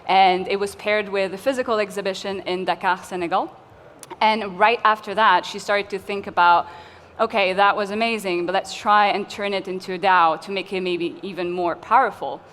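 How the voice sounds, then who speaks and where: A woman's voice: medium pitch, fairly even intonation, normal speed, fairly bright tone. A woman from Tunisia speaking as a curator on a conference panel, friendly and a little hesitant. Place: conference hall. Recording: long hall reverb, faint ambience.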